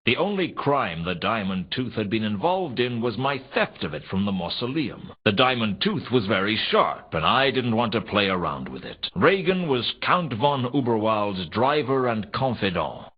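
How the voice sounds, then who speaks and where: An actor playing a wry, world-weary private detective, narrating a noir adventure game in a deadpan, sardonic way. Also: male voice, on the low side, normal speed, few pauses, breathy edge. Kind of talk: scripted first-person noir narration. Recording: dull, lo-fi ripped game audio.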